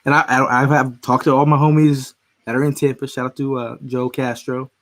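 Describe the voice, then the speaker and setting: A man's voice: mid-range voice, flat delivery, fairly quick, fairly bright tone. Philly-male podcast host, voice just back after losing it, rambling casual sports talk. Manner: rambling, frequent restarts and fillers. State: voice recovering from being lost.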